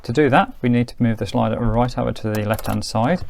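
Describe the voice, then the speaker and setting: A man reviews a gadget in a casual, hands-on way. His mid-pitched voice has some fry in it, and he thinks aloud with small hedging asides. The amateur recording carries light hiss and clicks. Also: a little fast, a little mellow. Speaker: product reviewer.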